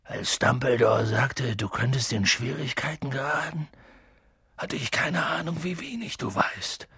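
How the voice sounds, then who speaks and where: A reader voicing a huge, gruff half-giant man who blusters loudly but turns hushed and worried. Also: medium pitch, very animated, normal speed, dry recording, studio quality, exaggerated voice. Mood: low worried. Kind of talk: audiobook reading.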